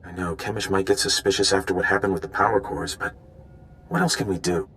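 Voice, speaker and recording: A man's voice: low voice, steady tone, quick pace, creaky, pushed and bright, a little hiss or hum, exaggerated voice. An actor playing an earnest, scheming male undercover informant in a video game, speaking in an urgent, conspiratorial way. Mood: worried. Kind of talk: video game dialogue acting.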